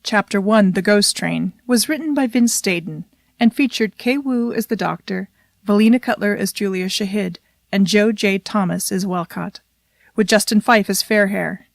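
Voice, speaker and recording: A woman's voice: medium pitch, normal speed, gravelly, no room sound. A woman reading scripted credits and a disclaimer as an announcer.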